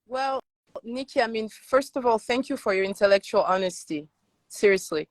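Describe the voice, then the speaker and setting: A woman's voice: a little high, moderate pace, no echo. A woman who grew up in Iran, a native Farsi speaker, talking earnestly and emphatically as a guest in an interview. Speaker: interview guest, advocacy founder. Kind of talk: conversational interview.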